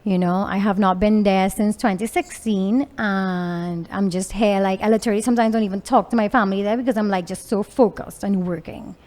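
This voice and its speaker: A woman with a Trinidadian accent, from Trinidad and Venezuela, answering interview questions in an open, expressive way and often laughing. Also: medium pitch, a little fast, few pauses. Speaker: entrepreneur guest.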